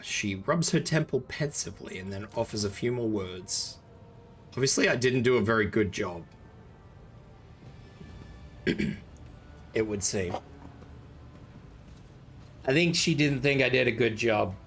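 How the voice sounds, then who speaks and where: A man casually chatting and swearing on a live game stream, hoarse and losing his voice. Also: mid-range voice, expressive, measured pace, pauses often, fairly bright tone, soft background music.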